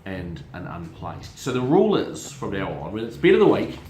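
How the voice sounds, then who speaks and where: A man's voice: on the low side, wildly swooping pitch, normal speed, darkish tone, a little hiss or hum. A middle-aged man, hosting a casual family chat show about horse racing, chatty and prone to repeating words while he searches for them. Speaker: racing show host and syndicate manager. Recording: roomy echo.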